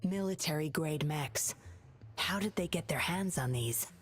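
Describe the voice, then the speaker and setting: A woman's voice: sits low, unhurried, slightly rough, soft background music. An actress playing a mysterious, scheming young woman in a video game, speaking in a cool, guarded, matter-of-fact way. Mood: cool, focused.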